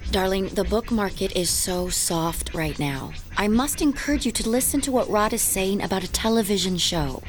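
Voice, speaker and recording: An actress playing a young, practical wife who coaxes in a polished, persuasive way, in a horror radio drama. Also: female voice, mid-range voice, expressive, normal speed, few pauses, faint music behind, natural voice. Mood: coaxing, concerned. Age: young adult. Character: young, practical, coaxing wife of a ghost hunter.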